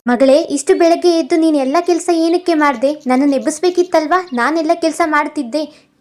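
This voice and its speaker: A woman voicing a kindly, doting middle-aged mother-in-law for an animated storybook. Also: sits high, a little fast, close to an everyday voice. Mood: fussing. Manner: doting, reassuring.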